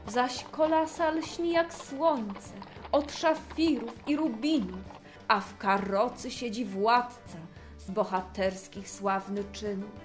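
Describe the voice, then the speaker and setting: A woman narrating a rhymed fairy tale for children in an expressive storytelling manner. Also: on the high side, slow, faint music behind.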